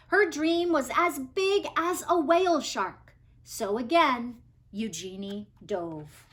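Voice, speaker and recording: A woman reading a children's picture book aloud, expressively, with dramatic pauses and emphasis on key words. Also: sits high, a bit bright. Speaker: read-aloud storybook reader.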